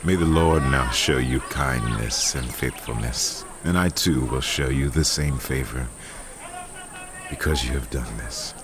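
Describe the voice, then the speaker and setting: A solemn warrior king, super low voice, slow and weighty, bible drama w music under it. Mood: solemn, gracious. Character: commanding male warrior king, solemn and fervent. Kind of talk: dramatized scripture acting. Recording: loud music bed under voice.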